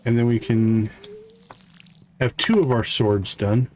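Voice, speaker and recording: A man's voice: mid-range voice, fairly even intonation, slow pace, darkish tone, slight background noise. A man hosting a live game stream, giving casual commentary and thinking aloud as he plays. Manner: thinks aloud while playing.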